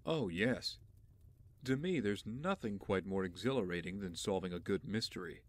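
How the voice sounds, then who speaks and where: A man playing an aloof, wry young billionaire in an audio drama, speaking in a dry, measured, haughty way. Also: low voice, expressive, no echo. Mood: guarded, dry.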